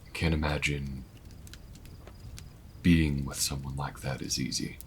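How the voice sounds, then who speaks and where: A man's voice: rumbling low, little pitch movement, unhurried, fairly bright tone, a little hiss or hum, exaggerated voice. A man voicing a laid-back, protective alien gunslinger in an intimate audio roleplay, with folksy, hesitant, reflective speech. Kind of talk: slow-burn audio roleplay, intimate monologue to listener.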